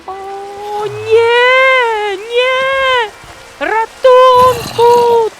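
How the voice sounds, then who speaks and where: Woman, high-pitched, unhurried, dramatic horror storyteller, frightened lonely heroine, noticeable rain-like noise. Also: steady tone. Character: frightened lonely young woman fleeing zombies. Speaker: narrator and voice of the lead character. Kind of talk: dramatized first-person horror storytelling for a Minecraft animation.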